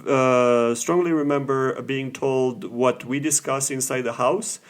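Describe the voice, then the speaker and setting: A man, a guest professor from Romania, talking in a measured way and pausing often between phrases in a casual interview. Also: medium pitch, fairly even intonation.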